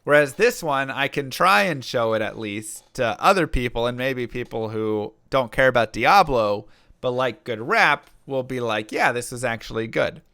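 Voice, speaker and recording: A man's voice: mid-range voice, lively intonation, normal speed, bright, forceful tone, smooth, dry recording, clean studio sound. A man streaming live, casually answering chat questions and rambling with fillers and restarts. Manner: rambling, lots of fillers and restarts, reads chat messages aloud. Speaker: streamer and rapper.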